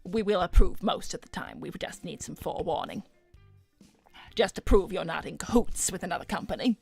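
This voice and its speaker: A woman voicing a smug, seductive hedgehog celebrity businesswoman in an animated roleplay stream, suave, flirtatious and coolly condescending. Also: fairly low pitch, fairly quick, vocal fry, slightly rough, soft background music. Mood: businesslike. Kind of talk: improvised in-character livestream roleplay.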